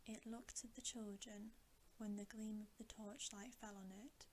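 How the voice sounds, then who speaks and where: A woman reading a bedtime story in a hushed, breathy, deliberate ASMR whisper, close to the microphone. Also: medium pitch. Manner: hushed, unhurried, softly deliberate. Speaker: ASMR storybook reader and host. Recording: steady audible hiss.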